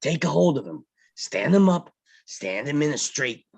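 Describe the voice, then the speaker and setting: An actor at a table read playing a gruff, bullying police captain who blusters and barks orders. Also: male voice, a little high, sing-song, moderate pace, darkish tone, full character voice. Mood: angry, bullying. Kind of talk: live table read of a film script.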